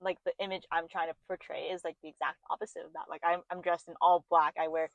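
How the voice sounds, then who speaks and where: A young woman co-hosting a podcast, telling stories about herself in a lively, self-mocking way. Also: lowish voice, quick pace, gravelly, dry recording, highs cut off. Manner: animated storyteller, self-deprecating, laughs often. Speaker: podcast co-host. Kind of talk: casual chat.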